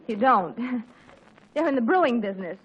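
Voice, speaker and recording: A woman's voice: on the high side, animated, normal speed, a little hiss or hum, lightly acted. A woman playing a genteel, fluttery middle-aged mother in a 1940s radio sitcom, with gushing, hesitant, sociable talk. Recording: muffled 1940s radio recording.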